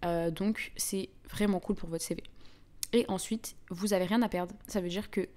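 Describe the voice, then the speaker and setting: A woman's voice: on the low side, fairly even intonation, moderate pace, warm tone. A young woman with a Parisian French accent chats informally and slangily with viewers as host of a live stream. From France (Paris). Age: young adult. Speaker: livestream host. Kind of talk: casual live chat with viewers.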